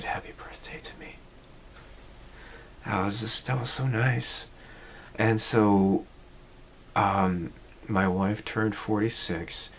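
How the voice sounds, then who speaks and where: Slow, low-voiced man musing in his forties. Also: a bit bright, a little hiss or hum. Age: 40s. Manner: rambling, reflective, many fillers and restarts. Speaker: channel host. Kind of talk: casual personal vlog talk.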